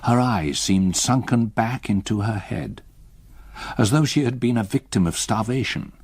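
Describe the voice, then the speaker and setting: An English actor playing a reflective gentleman narrating a ghost story in a radio drama, measured, literary and sombre. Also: fairly low pitch, slightly rough, no echo. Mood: sombre, haunted. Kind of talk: first-person scripted narration, radio drama.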